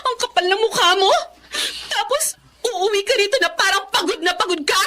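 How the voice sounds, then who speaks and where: Actress doing betrayed filipino wife, super high bright voice, dramatic and tearful, scolding. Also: female voice, normal speed. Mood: bitter, angry. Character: betrayed wife, hurt, indignant, emotional. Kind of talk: Filipino melodrama radio-style acting.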